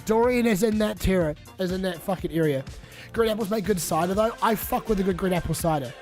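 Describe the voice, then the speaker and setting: A man's voice: sits high, brisk, faint music behind. A young man with a New Zealand accent who is sick, rambling and swearing casually on a live stream while reading chat aloud.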